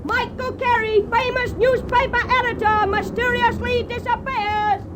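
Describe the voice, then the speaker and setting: A shrill street newsboy, very high, flat and slow calls. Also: male voice, some chatter behind. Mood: hawking. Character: shouting newsboy hawking papers. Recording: dull old radio recording.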